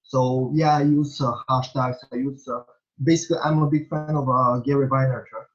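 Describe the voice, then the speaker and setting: A young man from Bulgaria, a native Bulgarian speaker with a Bulgarian accent, answering interview questions in a halting way, full of fillers and restarts. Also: medium pitch, fairly even intonation. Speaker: interviewee, small vlogger. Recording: dull lo-fi recording.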